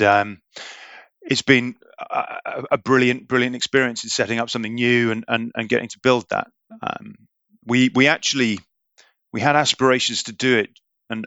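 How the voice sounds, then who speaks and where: Man, 40s, podcast guest, bright forceful tone, halting and full of ums. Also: medium pitch, no room sound, highs cut off. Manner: hesitant, many repeated words and ums. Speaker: guest, recruitment business leader. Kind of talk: podcast interview.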